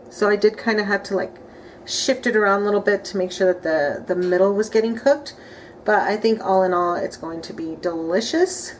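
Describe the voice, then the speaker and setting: A woman in her thirties, chatting upbeat as she cooks a recipe at home and thinks aloud. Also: fairly high pitch. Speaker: home cooking vlogger. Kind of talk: casual cook-along narration. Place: home kitchen, indoors. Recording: home kitchen, clear noise of dishes and utensils. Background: clinking cutlery and pots.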